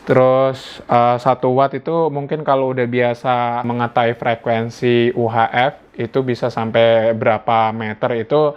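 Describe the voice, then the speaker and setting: A man's voice: medium pitch, little pitch movement, unhurried, clear, resonant tone. An Indonesian man giving a casual hands-on gadget review indoors at home, talking informally as if thinking aloud. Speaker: product reviewer. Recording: dull lo-fi sound.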